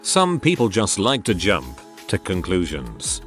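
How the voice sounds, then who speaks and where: A man narrating online posts aloud in an expressive, animated way. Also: low voice, a little slow, faint music behind.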